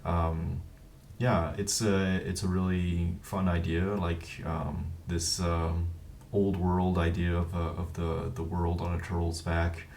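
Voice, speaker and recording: A man hosting a casual interview in a halting, loose way, repeating words as he searches for them. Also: sits low, monotone, darkish tone, far from the mic, slight background noise. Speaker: interviewer, host.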